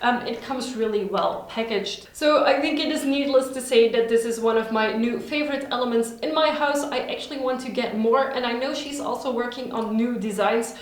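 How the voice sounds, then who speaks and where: A woman's voice: fairly high pitch, normal speed, hardly any pauses, slight background noise. A woman who speaks Dutch as her first language, chatting upbeat and enthusiastic in a casual vlog at home. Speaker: vlogger. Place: home room. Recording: very echoey room.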